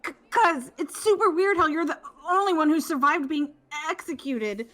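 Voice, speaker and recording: A woman's voice: sits high, lively intonation, normal speed, a bit bright. A woman voicing a nervous, stuttering teenage schoolgirl in a fan-voiced game. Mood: defensive. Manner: stammering, defensive.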